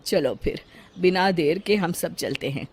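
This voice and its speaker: A woman voicing a reasonable middle-aged father who persuades and gently moralizes in a cartoon story. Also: lowish voice, animated, unhurried, slight background noise. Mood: coaxing.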